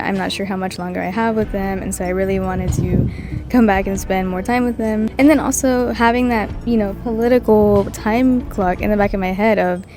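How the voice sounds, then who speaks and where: A woman's voice: mid-range voice, darkish tone. A young woman from Puerto Rico telling her personal story in a casual, chatty way, with many "like" and "kinda" fillers. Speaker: vlogger. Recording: music playing in the background.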